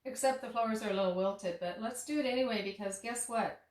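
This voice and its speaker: A woman chatting casually while cooking at home, rambling with many fillers and restarts, her voice slightly hoarse. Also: on the high side, fast talker, darkish tone, reverberant. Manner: laughs at herself. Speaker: tea shop owner and cooking vlogger. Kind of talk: casual kitchen demo chat. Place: home kitchen.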